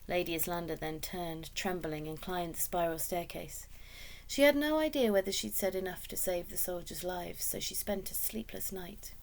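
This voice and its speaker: Lower-pitched woman reading a story. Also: normal speed, slight background noise, rough recording. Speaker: story reader. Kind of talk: expressive scripted storybook narration.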